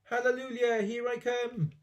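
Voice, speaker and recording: A man voicing an ancient, bossy, exuberant grandmother in a storybook, yelling in a screechy voice. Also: very high voice, flat delivery, moderate pace, voice pushed up. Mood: gleeful demanding. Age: older. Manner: yelling, exclamatory.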